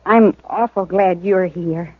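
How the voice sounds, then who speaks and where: A woman's voice: lowish voice, takes it slowly, no echo. An actress playing an earnest, worried fifteen-year-old farm girl in a 1950s radio western. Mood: trusting. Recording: thin, narrow-band vintage radio recording.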